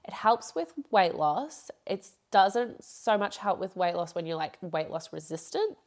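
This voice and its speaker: A woman's voice: fairly low pitch, sing-song, unhurried, darkish tone. A young woman hosting a podcast, talking casually and rambling with frequent laughs, her voice nasal from a blocked nose. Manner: chatty, rambling, laughs often. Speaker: podcast host. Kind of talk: casual solo podcast talk.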